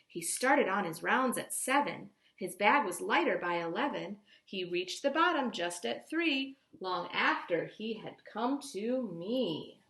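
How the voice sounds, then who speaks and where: A woman reading a picture book aloud like a teacher, with expressive, deliberate storytelling and simple explanations for children. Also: mid-range voice, roomy sound.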